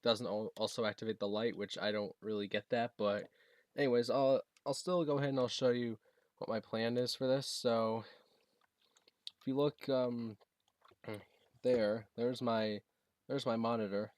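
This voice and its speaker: A man talking casually and haltingly, thinking aloud as he demonstrates a gadget he is setting up. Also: mid-range voice, steady tone, darkish tone, no room sound. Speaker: product reviewer demonstrating a gadget. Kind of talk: casual unscripted unboxing and setup demo.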